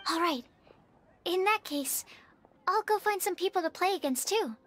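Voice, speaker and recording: Casting: a polite, earnest young scholar in an anime-style game, very high and soft. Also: female voice, animated, normal speed, a little hiss or hum. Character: gentle, studious, polite young woman. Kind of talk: animated game voice acting. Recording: background music.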